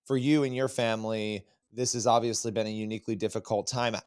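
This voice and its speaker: A man hosting an interview podcast, speaking earnestly, his voice slightly hoarse. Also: on the low side, steady tone, quick pace, darkish tone. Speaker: podcast host.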